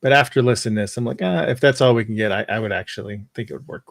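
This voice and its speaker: A man's voice: mid-range voice, racing, creaky, dry recording, clean studio sound. A man speaking casually and informally as a panelist in a group discussion. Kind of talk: casual chat.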